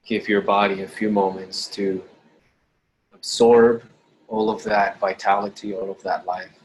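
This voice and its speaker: Low-voiced man guiding a slow meditation. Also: little pitch movement, rough recording. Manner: slow, deliberate, pausing between short phrases. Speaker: meditation guide. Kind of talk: guided meditation. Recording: clearly audible background noise.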